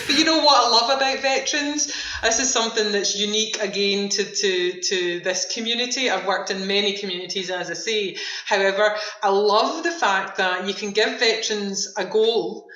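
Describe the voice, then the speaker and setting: A woman's voice: medium pitch, normal speed, sharp tone, echoey room, dull audio. A woman speaking as a guest in a radio interview, enthusiastic and emphatic, often repeating words for emphasis. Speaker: veterans' activity centre manager, interview guest.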